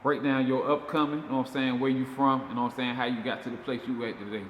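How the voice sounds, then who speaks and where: A man's voice: mid-range voice, steady tone, quick pace. A man with a Southern US accent hosting a casual interview in a slangy, filler-heavy way. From Georgia, USA. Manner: slangy, often repeats "you know what I'm saying". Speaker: show host, interviewer. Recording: echoey reverberant room, faint noise.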